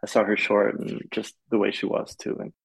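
A man's voice: on the low side, fairly quick, vocal fry, husky, rough recording. A young man, a film composer raised in Malaysia, talking casually in an interview, rambling with lots of fillers. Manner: relaxed, rambling with many "like" fillers.

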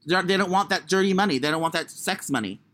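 Man with a high voice, bright and sharp tone, crude rambling podcast chat, self-mocking. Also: quick pace. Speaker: podcast co-host. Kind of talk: casual chat.

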